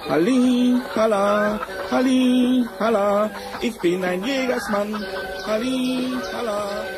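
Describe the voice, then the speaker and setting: An actor voicing a boastful, cheerful talking tomcat in a theatrical fairy-tale radio play from the seventies. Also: male voice, extremely high pitch, slow, over music. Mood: gleeful. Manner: theatrical, self-satisfied, flattering. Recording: noisy old vinyl record rip.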